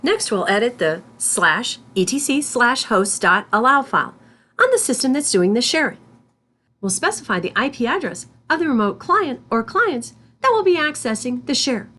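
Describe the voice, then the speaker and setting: High-pitched woman narrating a scripted tutorial. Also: very animated, sharp tone. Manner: deliberate, spells out terms symbol by symbol. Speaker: instructor.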